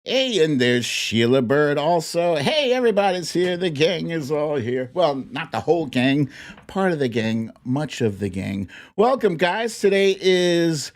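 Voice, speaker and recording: A man hosting a live streamed show, chatting to viewers in a playful, theatrical way with drawn-out exclamations. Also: fairly high pitch, big pitch swings. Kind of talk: live chat-show talk, greeting viewers by name.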